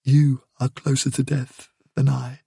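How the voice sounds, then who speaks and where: A man voicing a small, sad male rabbit in a storybook, speaking quietly with solemn resignation. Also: medium pitch, little pitch movement, measured pace, creaky, breathy edge, no room sound, studio quality, heavily acted. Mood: quiet warning. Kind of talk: storybook character dialogue.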